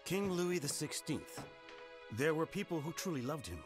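A man as a sombre narrator under clear music. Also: on the high side, expressive, lightly acted. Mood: sombre, reflective. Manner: measured, sombre. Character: grave, reflective male narrator of a revolution drama. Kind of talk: scripted game cutscene narration.

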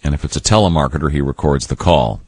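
Very deep, husky male radio host. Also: fast, no room sound. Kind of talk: casual show talk.

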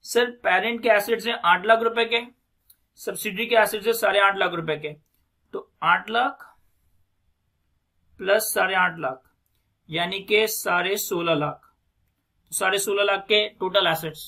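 A man's voice: high-pitched, unhurried, long pauses, bright, forceful tone. A man in his thirties with a Pakistani accent lecturing on accounting, methodically repeating key phrases and mixing in English finance terms. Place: indoors, recorded screen lecture at a desk.

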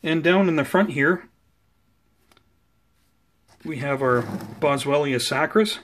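A man giving a casual, unscripted plant update in an unhurried, explanatory, conversational way, recorded indoors on a handheld camera. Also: a little high, a bit bright. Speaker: host of a plant-growing video channel. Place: indoors at home beside potted seedlings.

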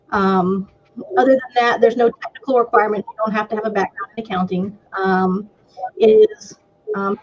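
A woman's voice: a little high, darkish tone, dry recording. Woman listing rules on a webcam, lotta ums, dry muffled sound. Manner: hesitant, lots of ums, matter-of-fact. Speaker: panel guest, office holder. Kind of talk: casual panel talk. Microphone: webcam on video call. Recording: dry, muffled video call audio.

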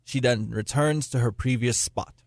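A man's voice: medium pitch, moderate pace, a little mellow, no room sound, close to an everyday voice. A man as narrator, reading scripted stage directions for a radio play. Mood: matter-of-fact.

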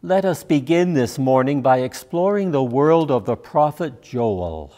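An older man, a minister giving a sermon in a measured delivery from a church pulpit. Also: medium pitch, lively intonation, dark tone.

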